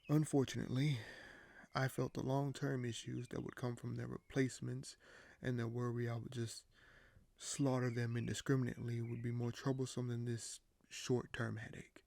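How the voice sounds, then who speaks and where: A man playing a weary, paranoid, dryly candid king in an audio roleplay, speaking in measured, formal phrases with hesitant pauses. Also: mid-range voice, vocal fry, darkish tone. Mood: brooding, cold. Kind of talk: audio roleplay monologue to a silent listener.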